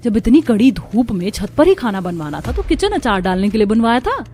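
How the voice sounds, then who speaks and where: A woman's voice: on the high side, very animated, brisk, darkish tone. A woman voicing an exasperated young daughter-in-law in a cartoon story, plaintive but firm as she complains. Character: exasperated, defiant young daughter-in-law overworked by stingy in-laws.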